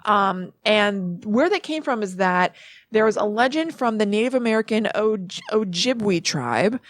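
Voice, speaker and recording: A woman's voice: medium pitch, expressive, measured pace, no echo, studio quality. A woman co-hosting a podcast, explaining things informally in a chatty, conversational way. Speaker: podcast co-host. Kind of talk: casual chat, informal explaining.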